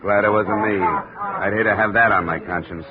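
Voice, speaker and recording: A man's voice: fairly low pitch, steady tone, moderate pace, crowd noise, natural voice. A man playing a dry, wry radio investigator. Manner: dry, wry, hard-boiled. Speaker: insurance investigator and narrator. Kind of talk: 1950s radio drama narration. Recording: dull old radio broadcast with hiss.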